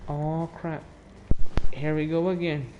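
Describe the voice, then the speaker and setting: A man's voice: a little high, little pitch movement, slow, dark tone, lo-fi sound. A teenage boy giving casual, muttering, sweary commentary while playing a video game. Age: teens. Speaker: gamer commenting on gameplay. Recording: noisy home gaming recording.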